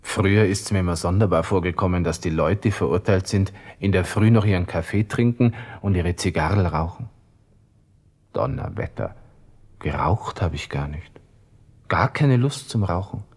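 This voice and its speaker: An actor playing a brooding, self-pitying young army lieutenant with a Viennese accent, musing and halting in a radio play monologue. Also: male voice, lively intonation, a little mellow, slightly rough, heavily acted. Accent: Austrian, Viennese. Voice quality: low-pitched. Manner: musing, halting, talking to himself.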